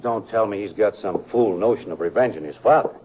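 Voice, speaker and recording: A man's voice: lowish voice, a little fast, lightly acted. An American man playing a weary, troubled sheriff in a radio western, plain-spoken and folksy. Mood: concerned. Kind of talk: 1950s radio western acting. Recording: muffled 1950s radio recording, faint noise.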